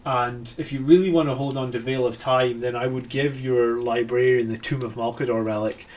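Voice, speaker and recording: A man's voice: mid-range voice, fairly even intonation, normal speed, a little mellow. A Scottish man hosting a live stream, thinking aloud about army lists with many fillers and self-corrections. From Scotland. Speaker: host reviewing army lists. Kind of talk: live-stream casual commentary.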